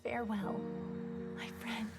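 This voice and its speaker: A woman playing a solemn, gentle figure in a video game, with measured delivery. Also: fairly high pitch, level tone. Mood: solemn farewell. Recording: loud game music behind voice.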